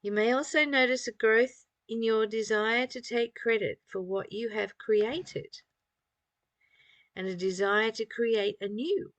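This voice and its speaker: Slow-talking woman, reflective spiritual teacher. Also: fairly high pitch, dry recording, muffled recording. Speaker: spiritual study teacher reading aloud and commenting. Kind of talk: reflective spiritual reading with informal commentary.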